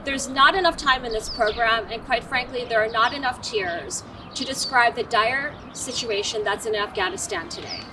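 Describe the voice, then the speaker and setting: A woman's voice: a little high, expressive, normal speed, noisy background. A woman hosting a show, speaking earnestly and with emphasis, moving from scripted narration to interviewing a guest. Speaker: host and company founder. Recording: distant mic with music behind.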